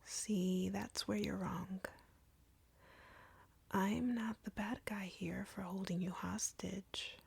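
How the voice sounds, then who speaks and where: Woman playing a slow, whispering, taunting vampire. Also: medium pitch, fairly even intonation, no room sound, full character voice. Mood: bored, accusing. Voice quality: breathy, husky whisper. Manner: taunting, slow, deliberate, drawling. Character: arrogant, cruel, flirty female vampire captor. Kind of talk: scripted audio roleplay, intimate whispering.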